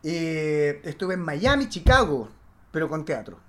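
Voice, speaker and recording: A man's voice: on the high side, big pitch swings, measured pace, fairly bright tone. A man with a Chilean accent, an actor guest chatting casually in an interview. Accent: Chilean Spanish. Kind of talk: casual chat.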